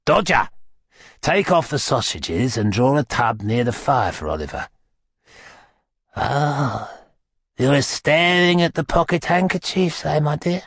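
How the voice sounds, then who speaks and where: A man voicing an oily, ingratiating old crook in a storybook, with a creaky, rough-edged voice. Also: medium pitch, sing-song, a little slow, pauses often, fairly bright tone, no echo, studio quality, pitched above the performer's own voice, heavily acted. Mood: oily, wheedling. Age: older. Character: merry old gentleman, wheedling crook with a gang of boys. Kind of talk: dramatised audiobook character voice.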